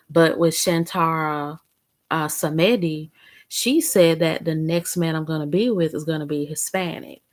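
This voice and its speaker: A young woman vlogger chatting casually to the camera in a rambling, conversational way. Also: deep voice. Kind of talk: casual chat.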